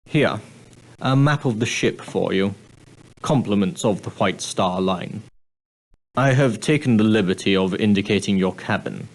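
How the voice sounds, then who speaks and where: Casting: prim male ship's steward, game role. Lowish voice, formal, deferential, precise, dryly polite. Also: low-quality recording. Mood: helpful, proper. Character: prim, proper, straight-laced ship's steward on an ocean liner. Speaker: video game character. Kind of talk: scripted game dialogue, giving guidance.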